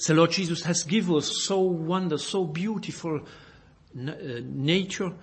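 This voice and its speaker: A man from Belgium, a native French speaker with a Belgian French accent, preaching and reading scripture in halting English, searching for words and correcting himself. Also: on the high side, slightly rough. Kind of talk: informal Bible sermon with scripture reading.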